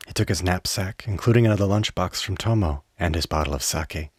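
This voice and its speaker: Deep-voiced male radio play narrator. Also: a little fast, no echo, studio quality.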